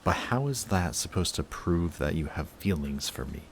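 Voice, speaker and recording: A man playing a guarded villain in love with a rival, in an intimate audio roleplay with halting, emotional delivery. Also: deep voice, animated, noisy background. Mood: overwhelmed, happy. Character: lovestruck male villain, guarded, flustered, confessing love to rival.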